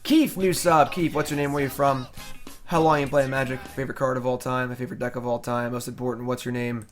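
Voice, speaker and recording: A man's voice: medium pitch, flat delivery, fairly quick, faint music behind. A man streaming a card game live, casually thinking aloud about his plays and criticizing his own mistakes. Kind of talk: casual live gameplay commentary.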